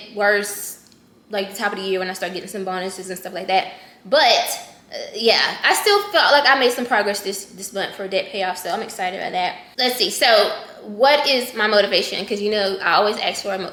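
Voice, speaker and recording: A woman in her thirties talks through a casual check-in with a bright, forceful voice. She chats loosely, corrects herself mid-thought and speaks straight to her viewers. The sound carries a roomy echo. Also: medium pitch. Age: 30s. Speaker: personal finance vlogger.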